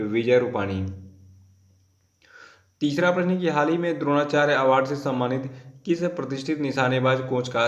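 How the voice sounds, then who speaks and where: Hoarse male tutor, mid-pitched, explains patiently and repeats key facts; dull, roomy recording. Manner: explains step by step, repeats names and dates to memorize. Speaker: current affairs teacher. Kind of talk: exam-prep current affairs lesson.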